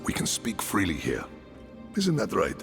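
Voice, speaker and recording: A kindly village carpenter in a game cinematic. His voice is very low and gravelly, with swooping intonation and a thoughtful, halting delivery. Music plays behind him. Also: wildly swooping pitch, a bit bright, full character voice. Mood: genial. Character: kindly gruff male village carpenter and father. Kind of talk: video game cinematic acting.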